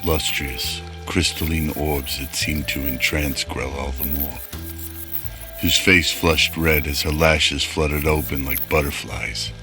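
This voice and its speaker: A man's voice: very deep voice, steady tone, slow, over music. A man narrating a scripted radio drama.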